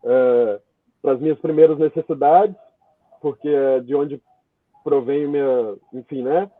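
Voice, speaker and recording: A man with a Brazilian Portuguese accent giving an informal, halting interview answer as a guest, thinking aloud as he goes. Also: mid-range voice, fairly even intonation, no echo, phone-quality sound. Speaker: guest, theatre writer and director. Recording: thin, band-limited call audio, dry.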